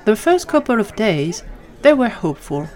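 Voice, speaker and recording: A woman's voice: medium pitch, sing-song, moderate pace, a little mellow. A woman reading scripted fiction as a storytelling narrator. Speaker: narrator of fan fiction audio drama.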